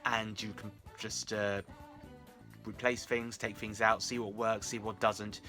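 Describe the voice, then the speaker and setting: A man's voice: lowish voice, little pitch movement, unhurried, bright, forceful tone, faint music behind. A British man chatting casually to camera and giving beginner advice in a rambling, wry, self-deprecating way. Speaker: amateur audio drama creator and vlogger.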